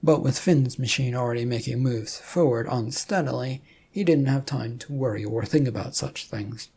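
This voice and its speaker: Storyteller reading a fiction piece, mellow and husky, gravelly creak in it. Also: mid-range voice, normal speed. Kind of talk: scripted fiction narration.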